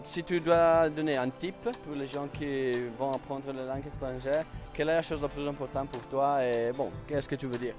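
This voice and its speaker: A man's voice: mid-range voice, fairly even intonation, moderate pace, hardly any pauses, music in the background. A man hosting a casual interview outdoors in a town, upbeat and jokey, with many fillers. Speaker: interviewer, channel host.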